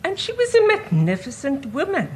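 A woman's voice: high voice, wildly swooping pitch, normal speed, slight background noise. A middle-aged woman, an audience member speaking earnestly and emphatically at a book event.

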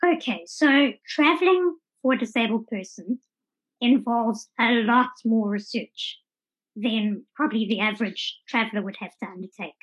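A woman's voice: fairly high pitch, moderate pace, husky, dull audio. A South African woman in her thirties giving articulate, orderly answers as a guest in a conversational interview. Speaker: podcast guest.

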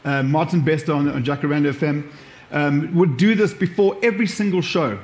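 A man's voice: fairly high pitch, fairly quick, darkish tone. A man with a South African accent giving an informal live presentation, talking through examples as a workshop presenter. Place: echoey room, live event. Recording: echoey room sound.

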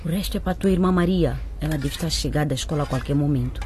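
An actress as a hard-pressed mother in a radio play. Her voice is low for a woman, earnest and caring, with an anxious, questioning edge. Faint noise sits behind it. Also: rapid-fire, a little mellow, natural voice. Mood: gentle, firm. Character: worried, hard-pressed mother and wife, caring but probing. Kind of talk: radio drama acting.

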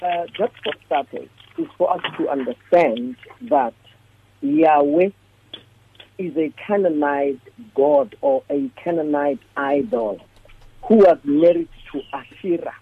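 Husky voice over a phone line. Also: mid-range voice, animated, a little slow. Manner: earnest and emphatic, restarts phrases while thinking. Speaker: panelist on a live religious talk show. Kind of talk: preaching-style discussion, reading scripture aloud.

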